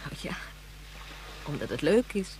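A woman's voice: sits low, very animated, unhurried, slight background noise, low-quality recording. An actress playing a patient, coaxing woman who looks after children in a radio drama, soothing in manner. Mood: gentle, probing. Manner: gentle, questioning, soothing.

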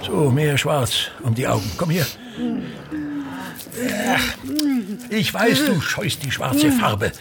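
An older actor playing a pompous, self-pitying, tyrannical old stage actor in a radio drama, declaiming imperiously. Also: male voice, fairly high pitch, huge pitch swings, slow pace, creaky, long pauses, background noise, exaggerated voice. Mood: imperious, nagging. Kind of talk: theatrical radio drama monologue.

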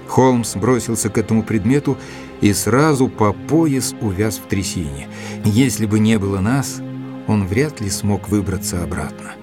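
An actor playing a sober male doctor who narrates a detective story in measured, literary phrasing for an audio drama. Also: lowish voice, natural voice. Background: music.

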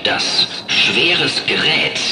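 A man narrating in a slow, measured documentary style. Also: mid-range voice, animated, echoey room, recorded from a distance, low-quality recording.

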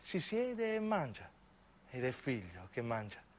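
A man's voice: mid-range voice, wildly swooping pitch, a little slow, slight background noise, voice pushed down. Man playing a vain old southern Italian woman. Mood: motherly, insistent. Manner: boastful, folksy storytelling.

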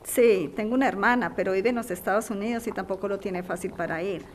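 An actress as a worried working mother, mid-pitched. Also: quick pace, a little hiss or hum, lightly acted. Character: worried migrant working mother, torn and polite. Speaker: actor in an audio drama. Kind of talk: podcast radio drama acting, conversational.

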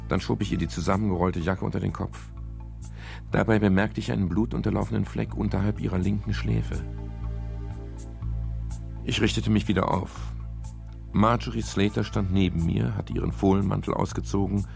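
An actor playing a sober, composed private detective in a radio crime drama, speaking in a measured, matter-of-fact way. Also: male voice, fairly low pitch, fairly even intonation, vocal fry, a little mellow, soft background music. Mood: sober narrating. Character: male private detective narrating his case, sober and composed. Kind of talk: 1970s German radio crime drama, narration and dialogue.

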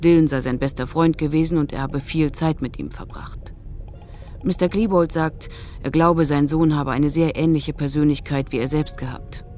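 An actress playing a police lead investigator who reads out a report in a factual, official manner in a German radio drama. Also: female voice, sits low, steady tone, moderate pace, darkish tone, faint music behind, close to an everyday voice. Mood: matter-of-fact. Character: formal female police lead investigator reading statement. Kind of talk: German radio docudrama acting, scripted report.

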